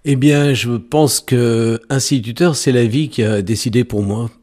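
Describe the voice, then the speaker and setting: A man's voice: medium pitch, warm tone. Hesitant man in his sixties, thoughtful. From France. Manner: hesitant, many "euh" fillers, slow thoughtful pace. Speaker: guest, author and storyteller. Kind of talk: radio interview answers.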